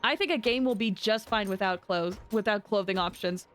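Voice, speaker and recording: A woman's voice: mid-range voice, moderate pace. A woman streaming a game and chatting casually with viewers in an informal, rambling way. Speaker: live streamer playing a game. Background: game music.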